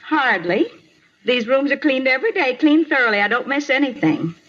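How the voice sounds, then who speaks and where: High female voice, American, nosy self-righteous hotel manager, folksy, insistent, 1950s radio drama, dull hissy recording. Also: animated, moderate pace, fairly bright tone. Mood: firm.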